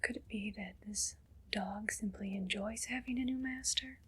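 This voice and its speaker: A woman playing a haughty, condescending evil queen in an ASMR roleplay, speaking in a breathy, deliberate whisper. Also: medium pitch, a bit bright. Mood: cool, suspicious. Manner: slow, deliberate, imperious.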